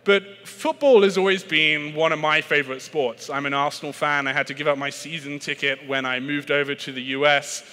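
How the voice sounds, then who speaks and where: A man's voice: fairly high pitch, steady tone, fairly quick, few pauses, pushed and bright. A British man giving a conference keynote on sales in a chatty, self-deprecating way, with frequent fillers and restarts. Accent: British English. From Britain. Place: large conference hall. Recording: reverberant conference hall.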